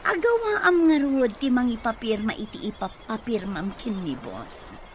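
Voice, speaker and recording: A woman's voice: fairly high pitch, big pitch swings, normal speed. An actress with an Ilocano accent playing a sly female accomplice in a radio drama. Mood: gloating. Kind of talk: Filipino radio drama acting. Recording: faint background noise.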